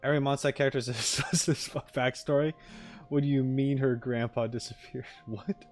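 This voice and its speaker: A man's voice: medium pitch, steady tone, moderate pace. A man streaming casual reaction commentary on game voice lines, joking and playfully exasperated. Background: faint music.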